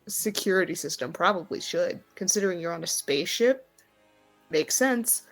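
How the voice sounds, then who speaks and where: Female game master, lowish voice, conversational, improvised explanatory narration over music. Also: expressive, moderate pace, poor audio.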